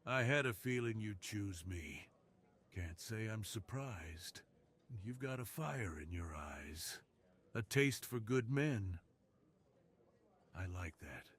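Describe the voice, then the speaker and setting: Man acting a low-voiced, seductive crime boss. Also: animated, breathy edge, full character voice. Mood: seductive, assured. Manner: slow, teasing, hushed delivery that drops into whispers. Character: alluring, dominant, seductive male crime boss with a tender side. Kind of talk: intimate ASMR roleplay.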